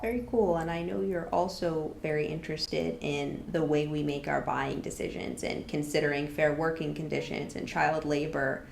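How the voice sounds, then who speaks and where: A woman's voice: deep voice, fairly even intonation, moderate pace, creaky, few pauses, darkish tone. A woman podcast host asking friendly, affirming interview questions. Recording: echoey room with faint background noise.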